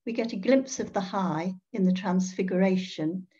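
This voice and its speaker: An older woman giving a reflective sermon in a measured way, pausing often and posing questions to her listeners. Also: medium pitch, warm tone. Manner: measured, with pauses and rhetorical questions. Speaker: priest preaching. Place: indoor room at home. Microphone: computer mic on a video call. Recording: dull, muffled video-call audio.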